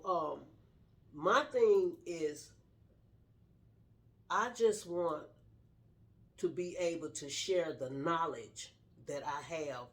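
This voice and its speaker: A middle-aged woman sharing her personal story casually in an earnest, straightforward way. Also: low-pitched. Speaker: guest telling personal story, wife of the host.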